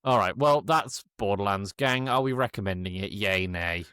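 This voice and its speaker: A man's voice: on the low side, moderate pace, bright, forceful tone, clear, resonant tone, no echo. A man hosting a casual film review chat, blunt and joking, who swears freely. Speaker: podcast host.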